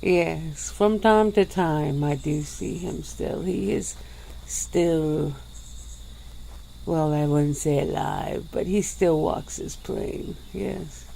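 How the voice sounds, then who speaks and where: A woman voicing a centuries-old female vampire, calm and regretful, speaking slowly and formally in an ASMR roleplay. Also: sits low, vocal fry, full character voice, low-quality recording. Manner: slow, measured, formal speech.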